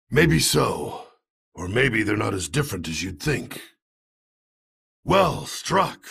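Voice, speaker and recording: Very gravelly, weary old soldier. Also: male voice, lowish voice, a bit bright, exaggerated voice. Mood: urgent, rallying. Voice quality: gravelly, husky. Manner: terse, world-weary, resolute. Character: grim, weary older male soldier, dutiful. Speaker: game character voice actor. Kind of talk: scripted video game voice lines.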